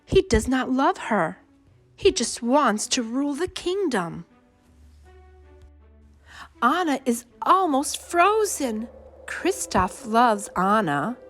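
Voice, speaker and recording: Lady reading a kids story really slowly, high lively voice, music behind her. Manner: expressive, short deliberate phrases. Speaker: children's read-along storyteller. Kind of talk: simple scripted storybook narration for young children.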